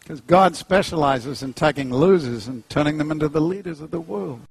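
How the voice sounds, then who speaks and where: A middle-aged man with a New Zealand accent preaching an animated, humorous sermon, jumping into playful voices. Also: brisk, vocal fry, slightly rough, dry recording. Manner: jokey, acts out characters, pitch leaps high.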